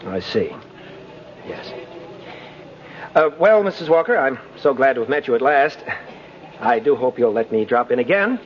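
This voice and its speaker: A genial man in an actor's performance with a lively, swooping delivery on a dull vintage radio recording. He plays a courteous bachelor neighbor, polite and a little hesitant, in 1950s radio drama style. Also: mid-range voice, moderate pace, pauses often, close to an everyday voice. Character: friendly, courteous bachelor neighbor charming mother and child.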